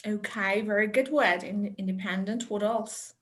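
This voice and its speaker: A woman presenting a teacher-training webinar over a video call, chatty and unhurried, with light laughs and fillers. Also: mid-range voice, steady tone. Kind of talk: friendly online teacher talk.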